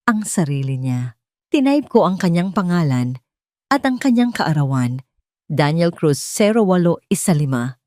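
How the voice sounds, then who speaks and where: A woman with a Filipino accent narrating a dramatic first-person story in an emotive, deliberate way. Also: fairly low pitch, sing-song, a little mellow, dry recording, clean studio sound.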